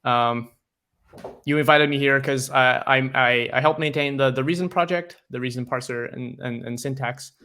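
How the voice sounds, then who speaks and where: Bright-voiced man, hesitant. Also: medium pitch, fairly even intonation, moderate pace, smooth. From Portugal. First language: Portuguese. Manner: hesitant, many restarts and fillers. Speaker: guest, open source developer. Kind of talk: casual interview chat.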